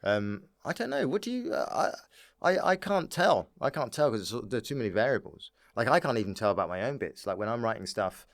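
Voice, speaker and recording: A man's voice: medium pitch, very animated, fairly quick, no echo, studio quality. A man talking as a comedian guest in a casual chat, rambling and often repeating his words.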